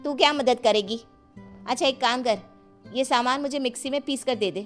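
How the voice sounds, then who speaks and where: A woman's voice: high-pitched, moderate pace, a bit bright, soft background music. A woman playing a bossy, sarcastic middle-aged city housewife in a cartoon family story, with a nagging, dismissive way of talking. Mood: taunting, dismissive. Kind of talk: animated moral-story dialogue.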